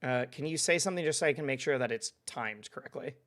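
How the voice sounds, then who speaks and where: A man's voice: mid-range voice, fast talker, a bit bright. A young man hosting a live stream, chatting casually and laughing often as he reads out follows. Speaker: streamer host.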